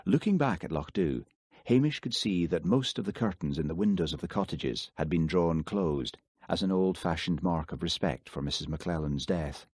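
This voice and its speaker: Male audiobook narrator, calm, steady, deep, husky. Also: moderate pace, no echo, clean studio sound. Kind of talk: scripted narration.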